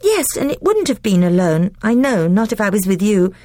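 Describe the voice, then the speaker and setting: A woman voicing an eager, loving young girl who talks high and breathlessly, often pleading. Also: very animated, moderate pace, warm tone, dry recording, clean studio sound, natural voice.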